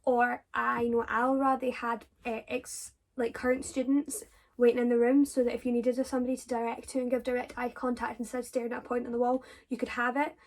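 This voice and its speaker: A woman's voice: a little high, little pitch movement, brisk, vocal fry. A young Scottish woman with a Scottish accent, chatting casually as she answers viewers' questions, with lots of fillers and self-corrections. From Scotland. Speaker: drama student vlogger.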